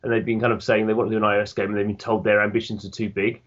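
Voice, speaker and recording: A man co-hosting a casual podcast, talking in quick tumbling bursts with many restarts. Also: lowish voice, level tone, a bit bright. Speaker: podcast co-host. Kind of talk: casual chat. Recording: dull lo-fi.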